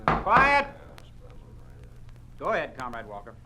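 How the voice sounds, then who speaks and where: An actor playing an officious, bullying party loyalist, pompous and barking, with an American accent in a 1950s radio drama. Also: male voice, a little high, wildly swooping pitch, slow pace, exaggerated voice. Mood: commanding. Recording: noisy old radio recording.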